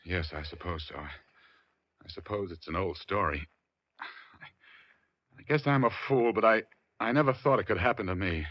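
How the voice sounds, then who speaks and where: Anxious, stammering husband, lowish voice. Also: male voice, animated, normal speed, pauses often, no room sound. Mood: embarrassed. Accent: American. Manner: hesitant, stammering, earnest. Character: anxious, distraught, decent husband in his late thirties. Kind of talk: 1940s radio acting. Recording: muffled 1940s radio recording.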